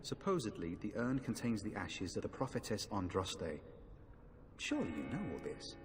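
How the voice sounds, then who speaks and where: A man playing an earnest, dispirited knight in a fantasy game, speaking in a formal, measured, grave way. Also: fairly low pitch, big pitch swings, slight background noise. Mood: courteous, resigned. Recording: long hall reverb, faint music behind.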